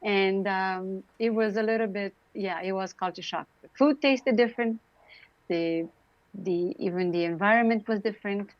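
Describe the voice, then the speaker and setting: A woman's voice: medium pitch, fairly even intonation, moderate pace, no room sound. Romanian-accented woman, muffled dry call. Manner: warm, chatty, laughs often, many fillers. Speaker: guest. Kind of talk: casual interview talk.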